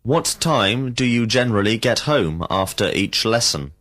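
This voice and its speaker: A man reading out slow, carefully enunciated drill questions as an English teacher in a recorded lesson. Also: lowish voice, expressive, fairly bright tone. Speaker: language teacher.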